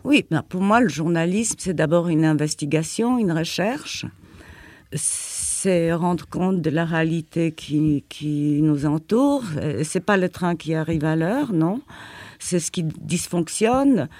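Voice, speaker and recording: A woman's voice: deep voice, slow, darkish tone, dry recording. An older woman, not a native French speaker, answering interview questions in a reflective, hesitant way with many fillers and repeated words. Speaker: interviewee, former journalist.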